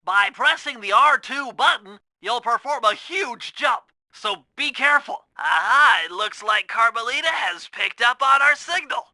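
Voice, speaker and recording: Give this game character a very high, piercingly bright voice, a nerdy young male turtle tech whiz. He is fussy, precise and anxious, with a nasal edge. The sound is dry and close. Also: lively intonation, a little slow, hardly any pauses, no room sound, full character voice. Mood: earnest, instructive. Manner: precise, fussy, technical briefing delivery. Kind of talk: video game voice acting.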